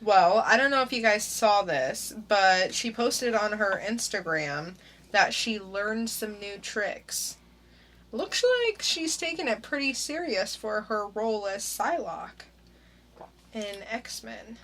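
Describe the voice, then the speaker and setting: A woman's voice: medium pitch, animated, slow, fairly bright tone, a little hiss or hum. A woman co-hosting a casual podcast, talking through news in a laid-back, dry way. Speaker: podcast co-host.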